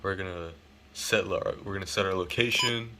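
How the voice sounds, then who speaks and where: A man's voice: sits low, fairly even intonation, moderate pace, very noisy. A man talking informally as he hosts a hobbyist unboxing and setup video. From United States. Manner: informal, occasionally swearing. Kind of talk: casual unboxing and setup demo.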